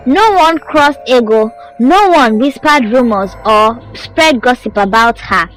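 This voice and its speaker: Slow, animated woman storyteller over music. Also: fairly high pitch, poor audio. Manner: dramatic, suspenseful storytelling delivery. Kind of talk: scripted folktale narration. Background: music under the voice.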